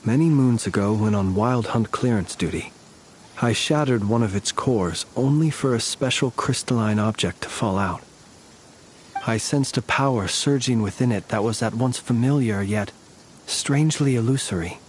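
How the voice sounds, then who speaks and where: A man's voice: medium pitch, moderate pace, a little hiss or hum, close to an everyday voice. An actor playing a courtly, world-weary, proud and stoic male warrior in a video game, speaking in formal, archaic phrasing. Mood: measured, explaining. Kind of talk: video game voice acting, scripted dialogue.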